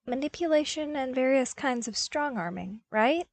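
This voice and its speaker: Woman playing young male apprentice, lifted pitch, earnest, cheeky, informal, expressive, dry close sound, audiobook drama. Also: normal speed, no room sound. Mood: hesitant.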